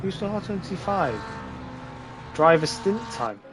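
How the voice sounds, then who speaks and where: A man with a slightly hoarse voice giving casual, informal live commentary on a sim race. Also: high voice, big pitch swings, unhurried, background noise.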